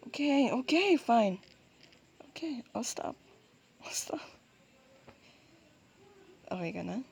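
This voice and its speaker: Soft, creaky-voiced Filipino woman soothing a partner. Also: on the high side, very animated, slow pace. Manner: soothing, coaxing, with frequent gentle check-ins. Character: soft dominant, reassuring, apologetic girlfriend comforting her partner. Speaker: roleplay ASMR performer. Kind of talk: intimate girlfriend roleplay ASMR in soft, close speech. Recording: faint background noise.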